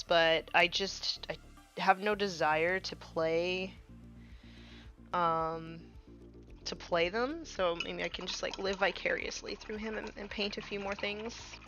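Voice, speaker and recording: A woman's voice: mid-range voice, bright, forceful tone, dull audio. A woman in her thirties chatting casually while painting miniatures on a live stream, with many fillers, sometimes trailing off to a murmur.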